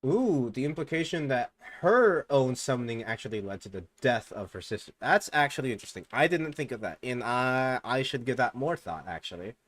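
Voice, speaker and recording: A young man streaming, reading fan theories aloud in a low mumble and breaking into loud excited outbursts. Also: a little fast, hardly any pauses.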